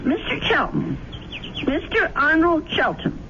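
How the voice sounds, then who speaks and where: A troubled, conscience-stricken landlady, with a highish voice that swings widely. She frets and muses slowly in old-time radio style, on a muffled recording. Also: wildly swooping pitch, noisy background, full character voice. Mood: earnest, worried. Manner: fretful, musing, emphatic. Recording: dull old radio recording, music behind.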